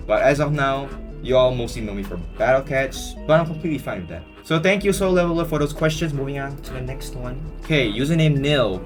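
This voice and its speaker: A young man, talking at an ordinary middle pitch. He rambles through viewer questions in a loose, joking way, dropping slang and filler words. A plucked guitar tune plays clearly behind him. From Singapore. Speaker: YouTuber answering viewer questions. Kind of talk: casual Q&A chat. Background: guitar music.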